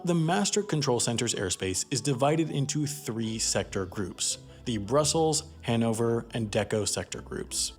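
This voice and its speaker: A man's voice: medium pitch, darkish tone. A man narrating a scripted explainer documentary as its host, explaining things with emphatic phrasing. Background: background music.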